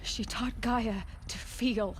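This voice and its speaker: A woman's voice: on the high side, fairly even intonation, slow. An actress playing a brave, earnest young female warrior in a cinematic video game. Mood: impassioned. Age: young adult.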